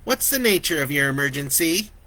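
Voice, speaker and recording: A brisk emergency dispatcher, a woman with a raised, steady voice, as a male reader puts her on. She speaks in a measured, official way and keeps control of the call. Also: a little high, voice pushed up. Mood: businesslike.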